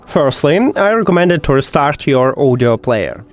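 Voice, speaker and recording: A man's voice: mid-range voice, animated, moderate pace, soft background music. Lilting man giving a tutorial. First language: not English. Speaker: tech tutorial host. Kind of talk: step-by-step spoken tutorial.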